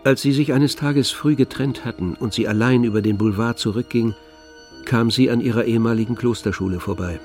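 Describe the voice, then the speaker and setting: An actor playing the all-knowing narrator of a literary tragedy in a radio drama, telling it in a measured, expressive way. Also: male voice, mid-range voice, soft background music, natural voice. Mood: grave.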